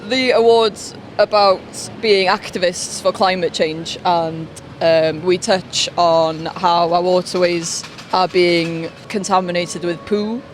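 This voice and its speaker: A woman's voice: on the low side, steady tone, slow pace, slight background noise. A woman performer giving a jokey outdoor interview and giggling between her answers. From Wales. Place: busy street outdoors.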